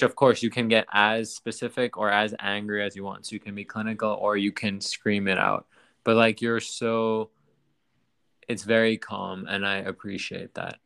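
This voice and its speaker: A man's voice: on the low side, fairly bright tone, clean tone. A man hosting a podcast in casual chat, rambling and often restarting his sentences. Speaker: podcast host.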